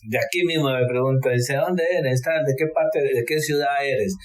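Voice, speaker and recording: A man's voice: mid-range voice, moderate pace. A man from Ecuador, chatting casually on a podcast in a rambling way with many restarts. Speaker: podcast co-host. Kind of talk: casual chat.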